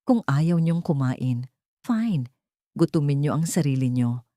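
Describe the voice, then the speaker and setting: A woman's voice: deep voice, animated, a little slow, dark tone, no echo, clean studio sound. A woman voicing a cold, cruel rich woman who commands and mocks, in an audiobook drama. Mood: cold, commanding. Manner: menacing, commanding, mocking. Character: cold, cruel rich woman hiding malice behind sweetness.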